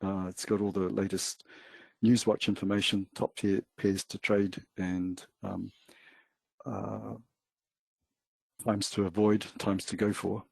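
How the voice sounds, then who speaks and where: A New Zealand man with a low, husky voice talks loosely through a trading webinar, pausing often with fillers. His voice is close and dry, with no room around it. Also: no room sound. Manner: relaxed, hesitant, many fillers. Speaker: webinar co-host. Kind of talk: casual webinar talk.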